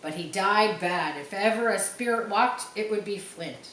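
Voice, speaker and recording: A woman's voice: medium pitch, a little slow, a bit bright, recorded from a distance, lightly acted. A woman voicing a superstitious, uneasy pirate crewman in a storybook reading, with emphatic, dramatic delivery. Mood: grave, uneasy. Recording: echoey room with faint background noise.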